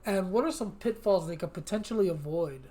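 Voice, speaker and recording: High-pitched man hosting a casual podcast. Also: a little fast, mellow. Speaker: podcast host, interviewer. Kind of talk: casual chat.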